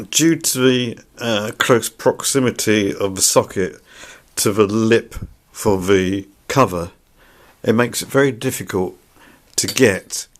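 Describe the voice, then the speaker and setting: A man giving an unscripted product review in a plain-spoken, somewhat hesitant way. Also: fairly low pitch. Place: indoors.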